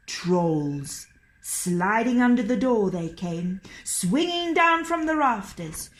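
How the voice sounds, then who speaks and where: A woman reading a children's tale as a storyteller, in an expressive, dramatic and deliberate manner. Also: medium pitch.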